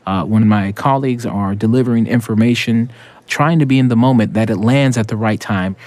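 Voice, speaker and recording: A man's voice: medium pitch, brisk, darkish tone, no room sound. A man, an opera tenor, giving reflective interview answers with small restarts.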